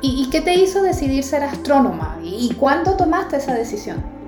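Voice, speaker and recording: A woman hosting a radio astronomy program, reading scripted segments in a clear, measured way and interviewing a guest. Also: sits high, sing-song. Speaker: radio show host. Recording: music bed behind voice.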